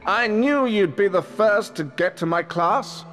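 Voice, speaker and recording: An actor playing a vain, showy British wizard professor in a video game, pompous and self-satisfied. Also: male voice, sits high, measured pace, a bit bright, big-room sound, soft background music. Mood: smug, showy. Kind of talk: video game character acting.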